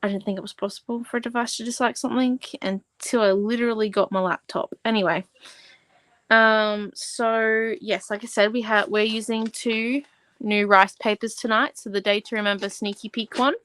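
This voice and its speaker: A woman's voice: a little high, steady tone, moderate pace, fairly bright tone, no room sound. A woman in her twenties hosting a live art class from home, talking casually and rambling with lots of asides. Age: 20s. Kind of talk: casual livestream craft tutorial. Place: at home, in a warm room.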